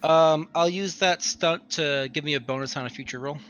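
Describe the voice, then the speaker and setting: A man's voice: on the high side, normal speed, fairly bright tone, lo-fi sound, soft background music. A man talking casually as a player in a tabletop roleplaying game. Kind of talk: casual in-character game chat.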